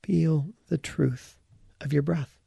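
A man teaches a guided meditation in a dark, relaxed voice with a heavy gravelly fry. He lays out short, deliberate phrases with pauses between them. The sound is dry, with no room around the voice. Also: a little high, dry recording.

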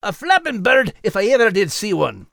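A man's voice: sits high, huge pitch swings, moderate pace, vocal fry, fairly bright tone, no echo, clean studio sound, voice pushed up, full character voice. A man voicing a plain-spoken, kindly male dog with a Scottish accent and a folksy manner, as in an audiobook. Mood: jaunty, teasing. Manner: folksy, blunt. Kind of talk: audiobook character acting.

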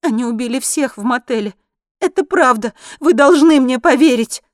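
A woman's voice: high-pitched, very fast, no echo, clean studio sound, pitched above the performer's own voice, lightly acted. A woman voicing a desperate, headstrong woman on the run, insistent and urgent, in an audiobook. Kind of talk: acted audiobook dialogue.

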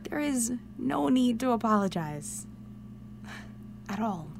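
An actress playing a breezy, teasing teenage best friend in an audio drama, with a casual, playful drawl. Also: female voice, fairly high pitch, very animated, slow pace, gravelly, noisy background, exaggerated voice. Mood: reassuring, teasing.

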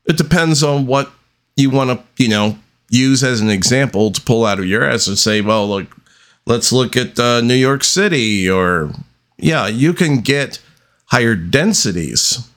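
Guy doing a solo podcast, talks really slow and halting, lots of long pauses, medium pitch, unscripted. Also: expressive, a bit bright. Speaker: podcast host.

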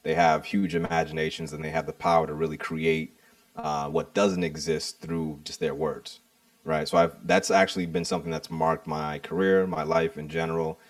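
Very low, gravelly-voiced man, a podcast guest. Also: flat delivery, moderate pace, dry recording, recorded from a distance. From United States. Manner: reflective, explains with metaphors, frequent "right?". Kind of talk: casual interview conversation.

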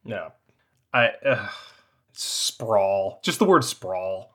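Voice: low-pitched, expressive, extremely slow, a bit bright. A podcast co-host in casual talk about a book, making wry, joking asides.

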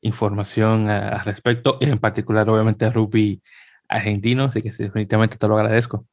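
A man's voice: lowish voice, level tone, brisk, no room sound. A man hosting a sports podcast, talking casually through news and often stalling on fillers and restarts. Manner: hesitant, many fillers and restarts. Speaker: podcast host.

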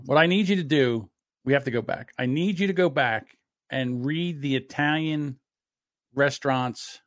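A man co-hosts with a joking, bantering manner in loose, casual chat. His voice is mid-pitched and sounds dry and close to the mic. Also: a bit bright, dry recording. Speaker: podcast co-host.

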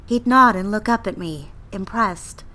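A woman's voice: mid-range voice, measured pace, pushed and bright. An actress playing a yearning young woman copywriter who narrates her diary in an audio drama. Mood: wistful, confessional. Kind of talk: scripted first-person audio drama narration.

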